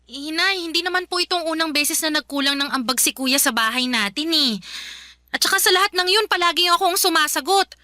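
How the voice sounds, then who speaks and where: A woman's voice: very high-pitched, fairly quick, bright, forceful tone, no echo, studio quality. A woman acting a weary, polite young daughter who protests and pleads, in a Filipino radio drama with a Filipino accent. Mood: aggrieved protest. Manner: respectful but protesting, pleading.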